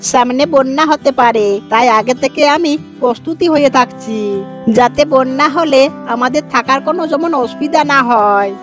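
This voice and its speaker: A woman's voice: high-pitched, moderate pace, soft background music, natural voice. A woman voicing a determined, cautious fox father in a children's cartoon, with an earnest, explanatory way of talking. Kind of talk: Bengali children's cartoon dialogue.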